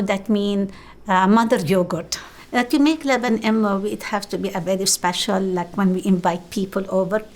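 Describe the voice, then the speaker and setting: A woman's voice: mid-range voice, a little hiss or hum. A middle-aged woman from Lebanon with a Lebanese accent, Arabic her first language, speaking in an interview in simple, uneven English. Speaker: documentary interviewee.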